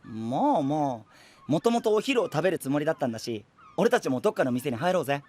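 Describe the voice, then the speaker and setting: An animated, boisterous young guy. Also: fairly high pitch, fast talker. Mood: curious, easygoing. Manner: boisterous, easygoing, naively confident. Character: cheerful, food-loving, carefree young male traveling companion. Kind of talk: animated Japanese voice drama acting.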